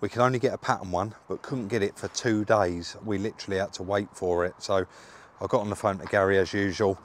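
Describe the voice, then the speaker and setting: A man's voice: lowish voice, fairly even intonation, a little fast, a little hiss or hum. A British man chatting casually and explaining car repair work to viewers in a matey, colloquial way, as co-host of a vlog.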